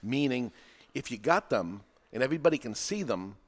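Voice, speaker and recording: Energetic New England podcast host, man. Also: medium pitch, moderate pace. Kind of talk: live podcast talk. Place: podcast studio with a live audience.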